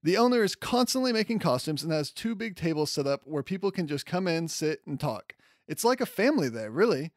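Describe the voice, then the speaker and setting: A man's voice: on the high side, sing-song, fairly quick, dry recording, clean studio sound. A man hosting a solo podcast, running through news in a chatty, informal and enthusiastic way. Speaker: podcast host. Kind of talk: casual solo news commentary.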